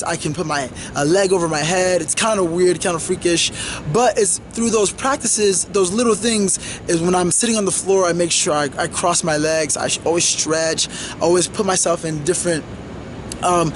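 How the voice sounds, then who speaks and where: A man's voice: sits high, slight background noise. A man in his twenties giving an earnest motivational talk as a vlogger, with many fillers and restarts. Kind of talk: motivational monologue to camera.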